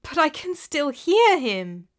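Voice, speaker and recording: A woman voicing a terrified, tearful medieval widow who pleads for help, high and strained. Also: very animated, slow pace, exaggerated voice. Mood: insistent.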